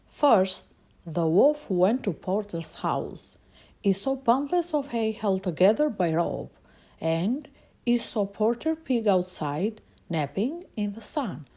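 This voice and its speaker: A woman storyteller reading a picture book aloud to children in an expressive, deliberate way. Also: mid-range voice.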